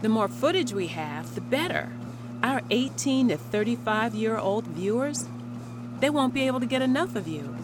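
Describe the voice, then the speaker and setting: An eager young female TV producer, with very lively pitch movement. She is ambitious and persistent in a natural radio drama manner, with music clearly underneath. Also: moderate pace. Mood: persuasive. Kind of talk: naturalistic audio drama acting.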